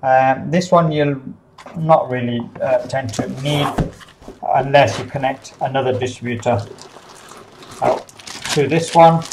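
Halting man explaining a product. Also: medium pitch, background noise. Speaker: product demonstrator for a CCTV supplier. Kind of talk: unscripted product unboxing and overview. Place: small office, indoors.

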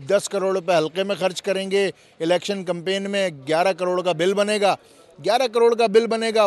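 Pakistani male, 50s, high-pitched, emphatic and rhetorical interviewee, handheld mic outdoors. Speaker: interviewed politician. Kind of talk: political interview, emphatic argument. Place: outdoors, parliament forecourt. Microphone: handheld interview microphone.

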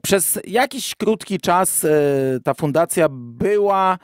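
Podcast host: man, voice a little above average pitch, casual explainer, very dry sound. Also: animated, slow pace, no room sound.

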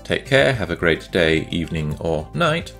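A man's voice: deep voice, sing-song, normal speed, fairly bright tone, faint music behind. A man giving an informal, matter-of-fact narrated demo of a hardware project he made, explaining it as he goes. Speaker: maker presenting own hardware project.

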